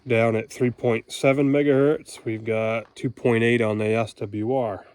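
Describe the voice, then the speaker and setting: A man's voice: mid-range voice, fairly even intonation, unhurried, darkish tone, clean tone. A man talking casually and informally in a folksy way, explaining things as he goes. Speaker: homesteader and amateur radio operator vlogging.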